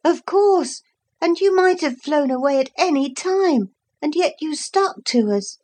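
A woman voicing an earnest, warm-hearted young boy in a storybook reading, speaking eagerly. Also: sits high, animated, a little slow, pitched above the performer's own voice.

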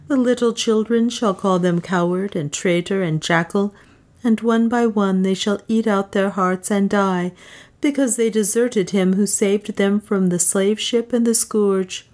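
A woman's voice: mid-range voice, a little mellow, pitched above the performer's own voice, lightly acted. A woman voicing a proud young woman who speaks as a prophetess, stately and scornful.

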